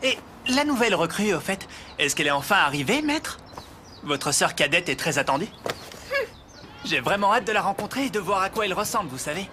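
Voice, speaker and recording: A man's voice: sits high, sing-song, a little fast, noisy background. A young man voicing a polite, smitten student who also narrates, in an amateur cartoon dub. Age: young adult. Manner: polite, earnest.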